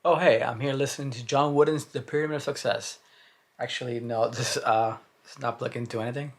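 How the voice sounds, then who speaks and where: A man with a Cuban accent talking casually to the camera as a book vlogger, chatty and rambling. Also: medium pitch. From Cuba. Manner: chatty and rambling, with frequent uh and restarts. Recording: roomy echo.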